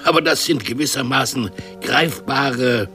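A man's voice: mid-range voice, a little slow, bright, forceful tone, soft background music. A man playing a scornful, impatient bully in a radio drama, speaking in a sardonic, declamatory way. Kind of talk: 1970s German radio drama acting.

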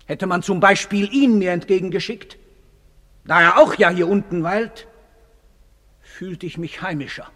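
Reverberant, high-voiced, pompous Roman general. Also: male voice, unhurried, pauses often, pushed and bright. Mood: wistful. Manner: pompous, declamatory. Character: haughty, vain dead Roman general, used to obedience. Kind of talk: theatrical radio drama declamation. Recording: long reverberant hall.

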